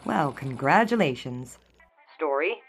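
Crisp, sardonic 1920s newspaper secretary, lowish pitch, cool and quick-witted, radio-play style. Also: female voice, lively intonation, soft background music, heavily acted. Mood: dry, teasing. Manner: crisp, dry, teasing. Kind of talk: 1920s period audio drama acting.

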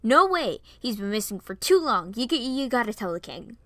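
A woman performs an impulsive teenage boy in a dramatized story reading. The voice is bright and tense in a dry space. He speaks emphatically, tripping over his words, with a temper that is quick to rise. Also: fairly high pitch, big pitch swings, no echo. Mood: urgent. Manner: stammering, emphatic.

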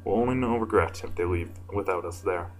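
A man voicing a gentle, earnest elder-sister pony princess in a story reading. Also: low-pitched, brisk, soft background music, lightly acted. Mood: gentle, sad.

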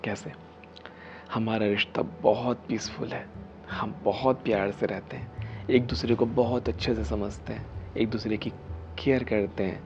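A man's voice: lowish voice, fairly even intonation, measured pace, creaky, a little mellow, dull audio, slight background noise. A man in his thirties, a healer, explaining a method to camera in an earnest, instructive way, sometimes lifting his voice for emphasis and sometimes dropping to a whisper. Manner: earnest, explanatory, rising emphasis on key phrases, drops to whispers. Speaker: spiritual healer and video host. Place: studio set with dark curtain and statue.

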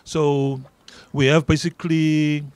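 A man's voice: fairly high pitch, level tone, slow pace, a little mellow, clear, resonant tone, no echo. A middle-aged South African man with an Afrikaans accent, a union leader answering interview questions earnestly and repeating words for emphasis, speaking into a handheld mic outdoors. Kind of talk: interview answers at a public event. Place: outdoor garden event.

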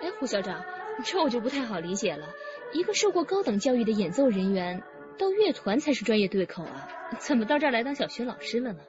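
An inquisitive policewoman in a radio play. Her voice sits a little high and swings widely in pitch. It is outspoken, on a slightly dull recording. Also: sing-song, fast talker. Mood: questioning. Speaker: voice actor in radio drama. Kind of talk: radio drama dialogue. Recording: band-limited radio drama with music behind.

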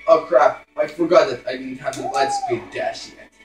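A man's voice: a little high, wildly swooping pitch, normal speed. A man giving casual playthrough commentary, hesitant and muttering to himself as he plays. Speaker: gaming YouTuber. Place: indoors, filming a screen with a camera. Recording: echoey room, faint game music, screen filmed.

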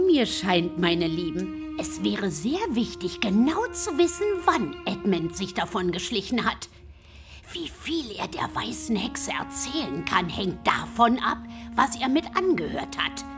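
Motherly beaver in a radio play, very expressive, explains earnestly, music behind. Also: mid-range voice, measured pace. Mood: sensible, concerned. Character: sensible, motherly female talking beaver. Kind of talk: German radio drama acting. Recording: music under the voice.

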